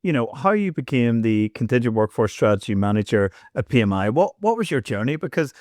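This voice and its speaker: A man's voice: mid-range voice, very animated, a little fast, a little mellow, no echo, clean studio sound. A man hosting an interview podcast, asking questions with eager repeated starts. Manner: repeats words as he starts, enthusiastic. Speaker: podcast host.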